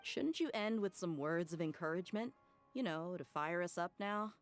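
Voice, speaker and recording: A woman playing a plucky, expressive, easily exasperated young ally in a video game, earnest and emphatic. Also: fairly low pitch, normal speed. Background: game music.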